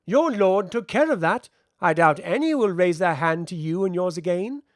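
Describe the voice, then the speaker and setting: Fantasy audiobook role: ageless elemental child, played by a man, high and lilting, serenely superior, archaic formal diction. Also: moderate pace, no room sound, studio quality. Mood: calm, assured. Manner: infernally calm, formal archaic speech. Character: ancient elemental being in child form, calm and lofty.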